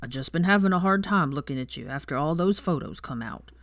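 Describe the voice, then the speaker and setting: A woman voicing a big, kind, awkward gamekeeper man with a gruff voice and halting, rustic, muttering speech, as in an audiobook. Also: sits low, expressive, no room sound, studio quality. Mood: sad, apologetic.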